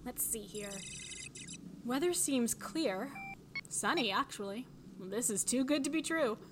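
A woman playing a curious, wry field scientist in an audio drama, talking to herself in halting musings. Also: a little high, animated, noisy background.

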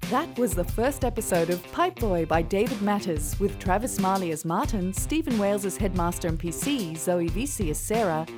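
A woman's voice: medium pitch, animated, normal speed, few pauses, over music. A woman with an Australian accent reading a scripted announcement as a cast member.

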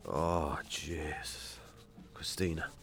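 An actor playing a troubled, hard-drinking Irish farmer in a naturalistic radio drama, halting and sweary. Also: male voice, rumbling low, gravelly, fairly bright tone, faint music behind, full character voice. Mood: groggy.

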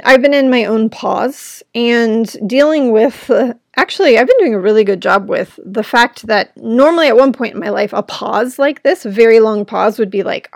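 A woman hosting her own podcast, talking in an earnest, rambling way with a gravelly, smoke-irritated throat. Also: on the high side, no echo, studio quality. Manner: earnest, rambling, with frequent "right?". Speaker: podcast host. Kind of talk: reflective solo podcast talk. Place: at home indoors.

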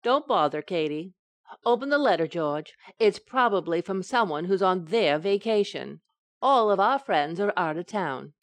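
A woman's voice: on the low side, animated, normal speed, no echo. A woman playing a scatterbrained, coaxing young wife in an old-time radio sitcom, with a breezy, innocent comic delivery.

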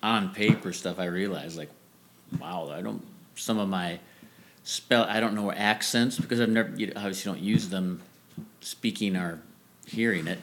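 A man with a lowish voice chats in a relaxed, meandering way, often restarting his sentences. He laughs easily as he talks, recorded at home. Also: moderate pace. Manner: rambling, self-correcting, laughs easily. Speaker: musician guest. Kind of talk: casual interview chat. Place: family home.

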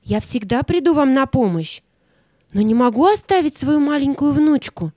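A woman playing a stern but caring old fairy in a children's audio play, giving measured instructions and commands. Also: high voice, animated, warm tone, dry recording, studio quality. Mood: solemn.

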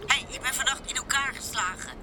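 An actress playing a shaken, reproachful young club worker in an audio drama, blunt and emotional. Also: female voice, high voice, a little fast, background noise, natural voice.

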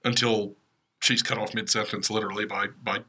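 A man's voice: on the low side, level tone, brisk, bright, forceful tone, slightly rough. A man with an American accent co-hosts a casual podcast chat with wry, teasing humor. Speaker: podcast co-host and radio play writer.

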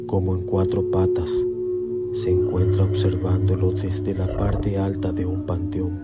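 Low-voiced male horror narrator over music. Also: monotone, a little slow. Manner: dramatic, suspenseful delivery. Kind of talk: scripted horror story narration. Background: music and night insects.

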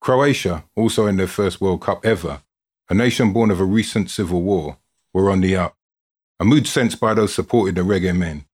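Husky, low-voiced man reading a story. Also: normal speed. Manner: expressive, dramatic delivery with built-up lists. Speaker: reader, narrator of a written football story. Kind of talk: scripted narration, storytelling reading.

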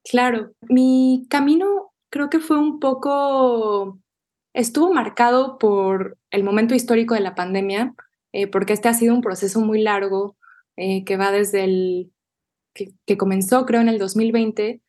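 A young adult woman poet answering interview questions in a thoughtful, measured way, often restarting small phrases as she thinks. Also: fairly high pitch, fairly even intonation, darkish tone. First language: Spanish.

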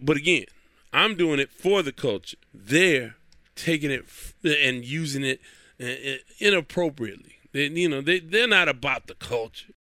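A man's voice: a little high, expressive, moderate pace, sharp tone, no echo, studio quality. A man hosting his own podcast in casual, rambling talk, nasal and stuffy from a cold. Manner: rambling, chatty, repeats himself. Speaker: podcast host.

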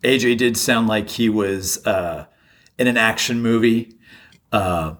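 A man's voice: lowish voice, takes it slowly, fairly bright tone. A man co-hosting a podcast in casual chat, easygoing and a bit hesitant with fillers. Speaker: podcast co-host.

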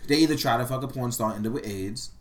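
Fast-talking brash New York man. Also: medium pitch. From Brooklyn, New York. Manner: brash, profane, emphatic. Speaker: podcast co-host. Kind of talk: casual chat with friends. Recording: faint background noise.

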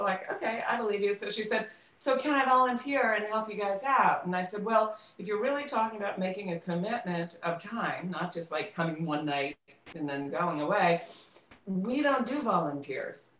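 Echoey, distant-sounding woman. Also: medium pitch. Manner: animated storytelling, quoting dialogue, halting when searching for words. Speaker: interview guest, theater company co-director. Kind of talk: casual conversational interview. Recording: roomy, echoey, distant microphone.

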